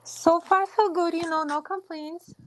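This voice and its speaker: A woman's voice: extremely high pitch, fairly even intonation, measured pace, dry recording, low-quality recording. A woman from Kazakhstan, a cat rescuer, answering as a podcast guest in a friendly, easygoing way. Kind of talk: interview.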